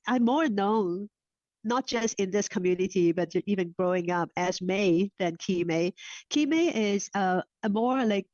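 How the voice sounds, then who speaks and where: A middle-aged woman, a Cantonese speaker, answers interview questions in a halting way, repeating and restarting her words. Her voice sits at an ordinary pitch. The recording is dry and a little dull. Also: a little mellow, no echo. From Hong Kong. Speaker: guest. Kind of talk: casual interview chat. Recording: slightly dull, dry sound.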